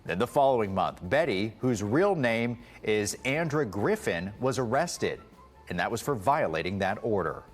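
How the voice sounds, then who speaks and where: A man's voice: on the low side, expressive, normal speed. A man anchoring a television news broadcast, reading a scripted story.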